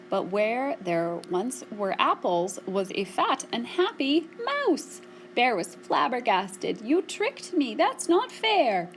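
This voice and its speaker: A woman's voice: on the high side, roomy sound, slight background noise, rough recording. A woman hosting a library story time, reading a picture book and chatting simply to young children with questions.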